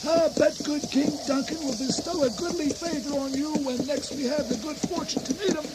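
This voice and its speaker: An actor playing a chirpy, flippant noble companion in a comic radio drama, chatting lightly. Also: male voice, very high-pitched, steady tone, brisk. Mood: flippant, jovial. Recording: very noisy old radio recording.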